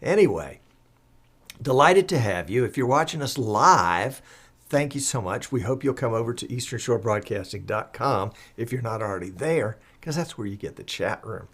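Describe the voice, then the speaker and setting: A man hosting a live show in a chatty, folksy, rambling and enthusiastic way, croaky as he recovers from the flu. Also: medium pitch, lively intonation, normal speed, fairly bright tone. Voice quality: slightly husky, croaky. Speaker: live stream show host.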